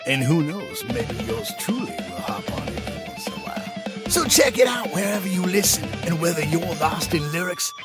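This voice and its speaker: A man's voice: fairly high pitch, lively intonation, moderate pace. A man hosting radio with showy hype patter, drawing out words and breaking into whoops. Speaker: radio DJ host. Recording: voice over loud music. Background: loud music with guitar.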